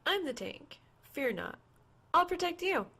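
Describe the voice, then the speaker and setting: A woman's voice: very high voice, sing-song, unhurried, bright, forceful tone, pitched above the performer's own voice, exaggerated voice. A woman voicing a teasing, fearless young woman gamer with a girlish voice in an audiobook. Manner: casual teasing banter. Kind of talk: audiobook character dialogue.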